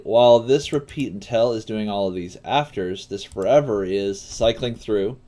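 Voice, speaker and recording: Low-voiced man thinking aloud while coding. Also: a little mellow. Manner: halting, pausing mid-thought while working. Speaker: coding teacher. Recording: clear background noise, keyboard typing.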